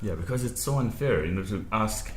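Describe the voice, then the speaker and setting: A man's voice: fairly low pitch, expressive, fairly quick. A man hosting a podcast interview, asking questions casually and laughing easily. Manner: brief back-channel replies, laughs easily. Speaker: podcast host. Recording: echoey room with faint noise.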